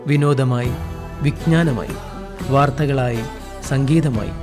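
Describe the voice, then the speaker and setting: A man announcer reads a station promo in a formal, declamatory style. His pitch is mid-range. Instrumental music plays clearly behind him. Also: slow pace. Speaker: radio announcer. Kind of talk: scripted promo narration.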